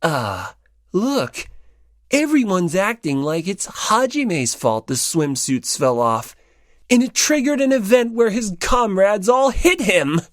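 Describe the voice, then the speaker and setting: A woman voicing casual high school boys, teasing or awkward, in an audiobook. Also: sing-song, slow pace, no room sound, studio quality. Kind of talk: audiobook character acting.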